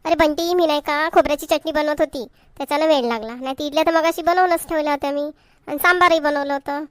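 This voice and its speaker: A woman's voice: high voice, steady tone, quick pace, no room sound, natural voice. A woman voicing a caring, fussing mother in a homemade comedy cartoon, with a homely Varhadi Marathi accent from Vidarbha. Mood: explaining. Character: Indian mother, fussing over her children, caring.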